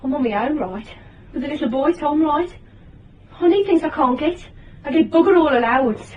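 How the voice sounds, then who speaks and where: An actress playing a frightened young British single mother in radio drama, speaking in a halting, rambling way. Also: high voice, lively intonation, recorded from a distance, lo-fi sound. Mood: distressed, desperate. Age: young adult.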